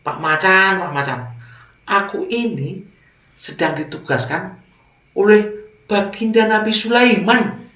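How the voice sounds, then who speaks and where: High, crafty little mouse deer. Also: male voice, takes it slowly, long pauses, bright, forceful tone, reverberant, distant mic, heavily acted. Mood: crafty, solemn. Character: small, clever, pleading mouse deer trickster.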